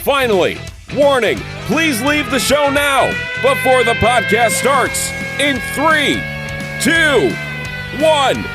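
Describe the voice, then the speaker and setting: Male intro announcer, mock-dramatic, slow delivery with big pitch swings, music bed. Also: big pitch swings. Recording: music under the voice. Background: guitar music.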